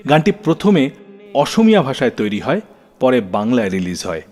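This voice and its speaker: A man's voice: medium pitch, big pitch swings, a little fast, darkish tone. A man hosting a music radio show, narrating scripted stories in a storytelling style. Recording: long reverb, music underneath. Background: faint background music.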